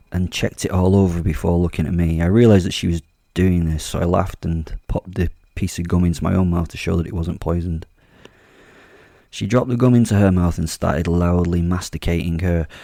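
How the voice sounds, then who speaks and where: A man's voice: low-pitched, vocal fry, dark tone, dry recording, studio quality. A man narrating a first-person horror audio drama as host, brooding and wry with a menacing edge.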